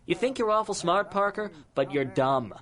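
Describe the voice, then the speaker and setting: A man's voice: sits high, animated, normal speed, a bit bright. An actor playing a cynical, corrupt older policeman in a 1930s radio crime drama, taunting in folksy tough-guy slang. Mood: threatening. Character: cynical corrupt older cop, menacing and mocking.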